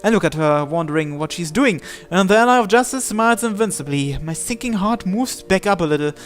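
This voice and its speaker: A man's voice: high-pitched, lively intonation, a little fast. A man reading visual novel text aloud as a narrator, with game music behind him.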